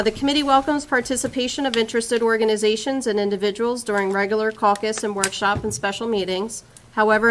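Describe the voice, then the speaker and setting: A woman official making formal remarks and reading procedure at a township meeting. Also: mid-range voice. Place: public meeting room, at a microphone. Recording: clear background noise and clicks.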